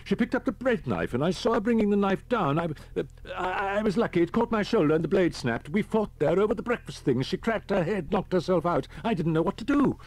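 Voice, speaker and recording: A frightened, unhinged man in his forties for an audiobook. The voice leaps high above the narrator's own and sounds strained. He pleads in broken, nervous bursts, stammering as he goes. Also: expressive, brisk, hardly any pauses, darkish tone, poor audio. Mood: brokenly distraught. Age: middle-aged. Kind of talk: audiobook character voice.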